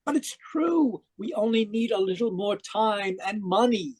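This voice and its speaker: High-voiced, desperate zealot played by a man. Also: lively intonation, unhurried, a little mellow, husky, full character voice. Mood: fervent pleading. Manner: fervent, pleading, intense. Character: obsessed, desperate zealot younger brother turned killer. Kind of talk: old-time radio mystery acting, dramatic narration. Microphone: home microphone on a video call.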